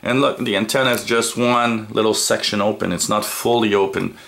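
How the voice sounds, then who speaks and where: A man giving an unscripted product review as a reviewer, in a halting way with frequent pauses. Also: lowish voice, steady tone, creaky, fairly bright tone. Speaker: radio reviewer. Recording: noticeable background noise.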